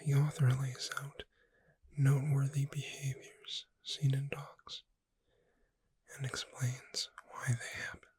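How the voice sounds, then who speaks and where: Lady doing a gentle witch bookseller for asmr, super breathy whisper, dark and soothing, right up on the mic. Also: very deep voice, level tone, no echo, exaggerated voice. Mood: calm, gentle. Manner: soothing, unhurried, hushed whispering. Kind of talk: soft-spoken ASMR roleplay. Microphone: close microphone in a dry space.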